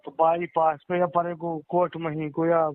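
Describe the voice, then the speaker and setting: A man's voice: fairly high pitch, monotone, moderate pace. A man with a Mewati accent chatting informally in rustic dialect on a recorded phone call. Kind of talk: casual private phone chat. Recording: tinny phone line, roomy echo.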